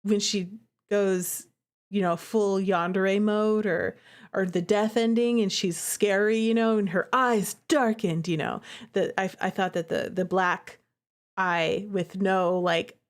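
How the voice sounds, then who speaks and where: A woman streamer giving casual, expressive and giggly commentary on a game she made herself. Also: medium pitch, slow pace.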